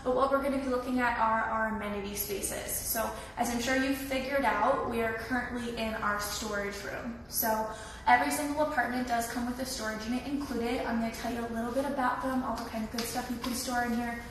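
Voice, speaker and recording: A young woman with a slightly raspy voice from a cold, giving a friendly, chatty live tour of an apartment building. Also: on the high side, steady tone, a little fast, slight background noise. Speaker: leasing tour host. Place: echoey empty indoor rooms. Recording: echoey room from far off.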